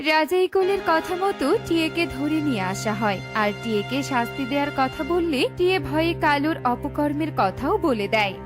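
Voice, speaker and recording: A woman's voice: high voice, expressive, normal speed, hardly any pauses. A woman giving scripted narration for a children's cartoon story, with music behind her.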